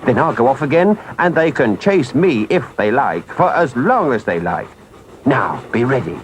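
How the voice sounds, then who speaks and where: A man's voice: medium pitch, very animated, moderate pace, a little hiss or hum, lightly acted. A man voicing a stern but kindly engine driver in a storybook, earnest and plain-spoken. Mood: urgent.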